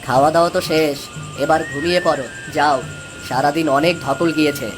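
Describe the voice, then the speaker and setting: A man's voice: on the high side, fairly even intonation, fairly quick, over music, lightly acted. A man playing a kind, honest village fisherman father in a Bangla cartoon, speaking earnestly. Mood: caring.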